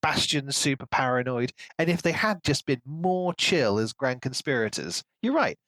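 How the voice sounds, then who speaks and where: A man's voice: mid-range voice, animated, moderate pace, fairly bright tone, dry recording. A man co-hosting a podcast, casually discussing a book and telling it like a story, often acting out imagined dialogue. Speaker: podcast co-host.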